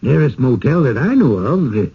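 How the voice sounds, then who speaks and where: An actor playing a genial, insistent small-town village elder in a radio drama, with a folksy, courtly, speechifying manner. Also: male voice, mid-range voice, very animated, moderate pace, husky, heavily acted. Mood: insistent, coaxing. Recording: dull lo-fi old radio broadcast.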